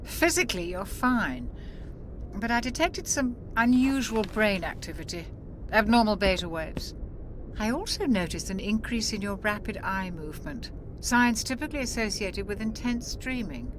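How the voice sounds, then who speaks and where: An actress playing a calm, caring, mature ship's doctor in a video game, composed and clinical in manner. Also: female voice, medium pitch, moderate pace, close to an everyday voice. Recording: clear engine-like ship noise behind.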